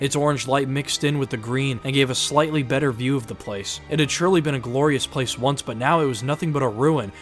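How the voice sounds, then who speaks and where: A man's voice: medium pitch, fairly bright tone. A man reading scripted, dramatized story narration as the narrator. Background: music.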